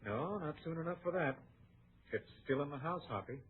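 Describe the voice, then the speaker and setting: A man's voice: a little high, lively intonation, moderate pace, slightly rough. An actor playing a desperate, self-justifying townsman in an old American radio western, with an earnest, dramatic delivery. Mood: resigned, defensive. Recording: muffled, narrow-band old radio recording.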